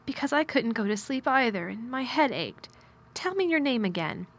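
A woman's voice: fairly high pitch, big pitch swings, normal speed, a bit bright, voice pushed up, exaggerated voice. A woman voicing a sickly, fretful young boy in an audiobook, plaintive and peevish in manner. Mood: fretful, gloomy. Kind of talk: audiobook character dialogue.

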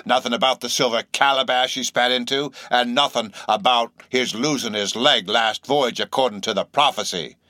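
A man's voice: mid-range voice, moderate pace, hardly any pauses, sharp tone, no echo, studio quality, voice pushed up, natural voice. A man voicing a shabby, insanely earnest old sailor in an audiobook, speaking abruptly with nervous emphasis and derisive, cryptic questions. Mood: derisive, ominous. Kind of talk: audiobook character acting.

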